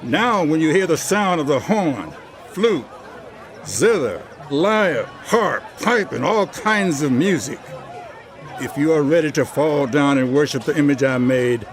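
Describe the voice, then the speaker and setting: A man's voice: on the high side, lively intonation, a little slow, a faint crowd. A man acting a proud, volatile Babylonian king in a dramatized audio Bible, speaking in a regal, declamatory way. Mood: menacing, imperious.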